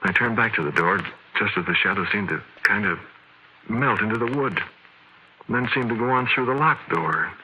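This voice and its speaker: An actor playing a shaken, haunted man who confides a ghostly encounter in halting, emphatic phrases, in the style of old-time radio horror. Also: on the low side, breathy edge, muffled recording. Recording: vintage radio recording.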